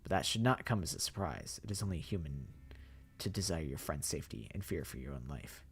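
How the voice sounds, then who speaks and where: A man voicing a polite, formal zombie mastermind who speaks in a slow, measured and softly menacing way. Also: rumbling low, big pitch swings, creaky, a little hiss or hum, voice pushed down, heavily acted. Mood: calm, courteous. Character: courtly, eerily polite male zombie mastermind.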